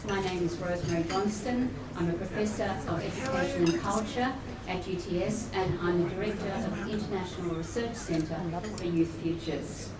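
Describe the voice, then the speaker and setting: A woman welcoming guests at a luncheon, formal but with warm chatty asides. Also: on the low side, normal speed, hardly any pauses, over a noisy crowd. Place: function room at a luncheon event. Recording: echoey room, distant mic, noisy.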